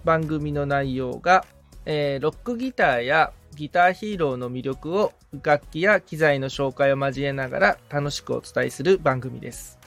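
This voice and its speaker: A man with a fairly high, creaky voice, speaking casually as a podcast assistant. He answers questions in an informal interview, with light music behind him. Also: lively intonation, moderate pace, fairly bright tone. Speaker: podcast assistant and guitar repairman.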